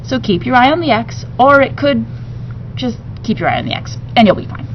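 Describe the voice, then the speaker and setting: Storybook male med tech read by a woman, instructive and joking, deadpan delivery; slightly noisy, lo-fi sound. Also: fairly high pitch, brisk, voice pushed up. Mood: reassuring, earnest. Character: joking, brisk male radiation technician giving instructions. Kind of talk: children's novel read aloud.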